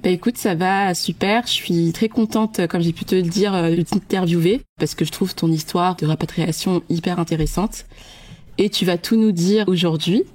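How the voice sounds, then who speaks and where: A woman's voice: on the low side, fairly even intonation, moderate pace, darkish tone. A woman in her thirties hosting a podcast, reading a scripted intro and then interviewing her guest in a friendly, enthusiastic way.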